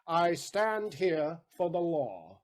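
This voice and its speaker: Man playing a defiant, higher-pitched vengeful moneylender. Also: big pitch swings, takes it slowly. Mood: stubborn, defiant. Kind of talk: audio play Shakespeare acting.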